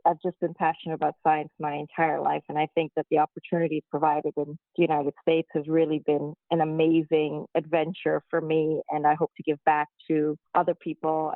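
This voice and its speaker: A woman from Guyana answering interview questions slowly and deliberately, heard over a tinny phone-like line. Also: low voice, monotone, dry recording. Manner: slow, measured and deliberate. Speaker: guest physician-scientist.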